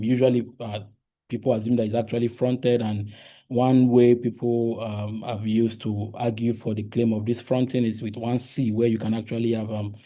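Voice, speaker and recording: A man's voice: mid-range voice, warm tone. A man whose first language is Yoruba, giving an academic linguistics talk with many fillers and restarts and frequent "right?" check-ins. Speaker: linguistics researcher presenting a talk. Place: lecture room.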